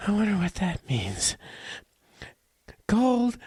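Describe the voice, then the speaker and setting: A man playing a frightened, freezing man desperate for his lover in an audio drama, husky and halting. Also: high-pitched, wildly swooping pitch, a little mellow, no room sound, clean studio sound, exaggerated voice. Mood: fading, numb. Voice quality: husky, breathy. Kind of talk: audio drama monologue and dialogue acting.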